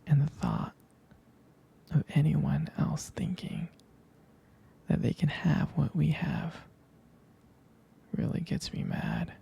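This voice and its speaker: Casting: male actor for possessive young boyfriend, ASMR roleplay. Soft, mellow whisper heavy with vocal fry, halting and pleading. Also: a little high, heavily acted. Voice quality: breathy, husky whisper with gravelly creak. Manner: slow, halting, intimate, softly pleading. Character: possessive, tender, jealous young boyfriend comforting his sweetheart.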